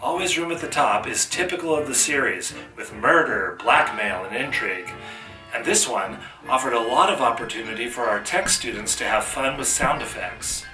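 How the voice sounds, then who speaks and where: A man's voice: medium pitch, normal speed, recorded from a distance. A man giving a scripted introduction as a theatre teacher hosting a show. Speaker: high school theatre teacher, host. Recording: echoey, off-mic, music behind.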